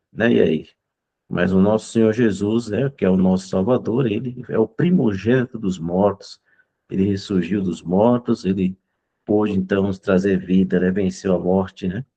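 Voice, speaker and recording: A man's voice: sits low, warm tone, breathy edge, muffled recording. A man leading an online discipleship Bible class over a video call, fervent and often tagging his sentences with a questioning "né?". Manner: fervent, fills pauses with "né?". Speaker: Bible study leader.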